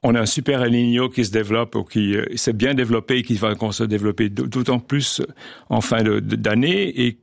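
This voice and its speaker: Gravelly older man explaining geology. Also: medium pitch, steady tone, brisk, no echo, clean studio sound. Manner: hesitant, many restarts and fillers. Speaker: guest geologist and oceanographer. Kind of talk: radio interview, casual explanation.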